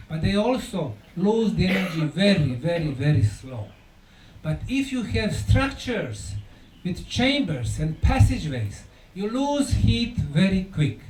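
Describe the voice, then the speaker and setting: A man giving a conference lecture in an emphatic, deliberate way, repeating key words for effect. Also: sits high, darkish tone. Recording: echoey room, distant mic, faint noise.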